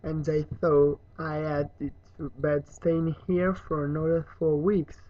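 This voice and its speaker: A polite, awkward young boy from a storybook, as an adult reader plays him. He speaks slowly and hesitantly, trying to be tactful. The sound is echoey and slightly muffled. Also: medium pitch, level tone, natural voice. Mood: curious. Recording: muffled, echoey room recording.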